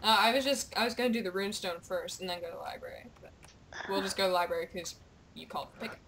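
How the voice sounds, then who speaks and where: A young woman chatting casually while gaming, excitable and playful, with goofy exclamations and bits of on-screen text read aloud. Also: medium pitch, racing, slight background noise. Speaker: gamer, video creator. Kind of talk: casual gameplay chat, reading quest captions aloud.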